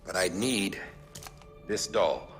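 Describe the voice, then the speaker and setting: An actor playing a guarded, wry, middle-aged masked director recording a deliberate, suspicious message for a game cutscene. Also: male voice, deep voice, lively intonation. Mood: grave. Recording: music behind the voice.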